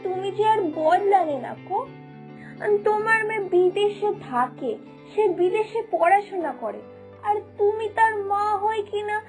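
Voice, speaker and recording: A woman's voice: very high voice, a little mellow. A woman voicing Lata-di, a nosy village woman who scolds and nags her neighbour in a cartoon. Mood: scolding. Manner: scolding, nagging. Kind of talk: cartoon voice acting.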